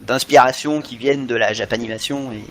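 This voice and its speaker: A man's voice: medium pitch, steady tone, brisk, a bit bright, slight background noise. A man in a casual podcast discussion, often repeating himself as he searches for words. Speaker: podcast co-host.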